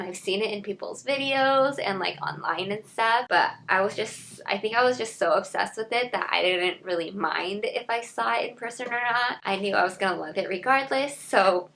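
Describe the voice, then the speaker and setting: Twenties female vlogger, mid-pitched and raspy with some fry, upbeat and chatty, recorded on a camera across the room. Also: normal speed, few pauses, a bit bright, a little hiss or hum. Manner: gushing, upbeat, lots of "like" fillers. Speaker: beauty and fashion vlogger. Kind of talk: casual unboxing vlog. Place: bedroom, indoors.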